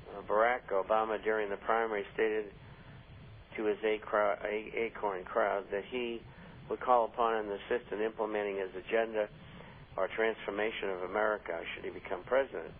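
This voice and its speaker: Man, radio host, losing his voice, slow and halting, low pitch, faint hiss. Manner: slow, halting, many fillers. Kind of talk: casual radio talk and listener questions. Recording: tinny phone-line radio audio with faint hiss.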